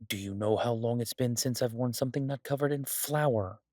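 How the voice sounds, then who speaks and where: A man's voice: mid-range voice, normal speed, darkish tone, dry recording, studio quality, lightly acted. A man playing a doting, restless mafia boss in an audio roleplay, talking conversationally with theatrical exasperation. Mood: exasperated, griping. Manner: conversational, exasperated, swearing, playfully dramatic. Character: male mafia boss, doting, restless, stuck baking in a safe house. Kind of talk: audio roleplay, one side of an intimate phone conversation.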